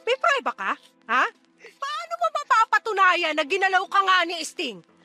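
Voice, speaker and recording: A woman's voice: very high-pitched, very animated, normal speed, pushed and bright, full character voice. A woman playing a callous, scornful mother in a radio drama, scolding and sarcastic as she sides with her lover. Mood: scornful, accusing. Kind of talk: Tagalog radio drama acting. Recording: dramatic music under the dialogue.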